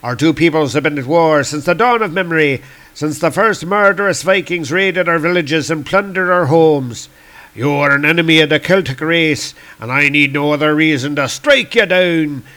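A man's voice: on the high side, normal speed, bright, forceful tone, pitched above the performer's own voice. A man voicing a proud, furious warrior villain from a comic book, declaiming in grandiose archaic speech. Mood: indignant, threatening. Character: Lear, proud furious Celtic warrior villain, bombastic and defiant. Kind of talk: reading comic book dialogue aloud.

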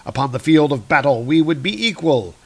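A man's voice: fairly high pitch, expressive, fairly quick, a little mellow. A man voicing a noble thunder god warrior from a comic book, with grave and archaic phrasing. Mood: reflective.

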